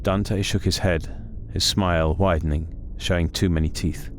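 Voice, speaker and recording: A man narrating a scripted horror story in a measured, suspenseful, dramatic way. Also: low voice, steady tone, a little hiss or hum. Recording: loud music under the voice. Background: music.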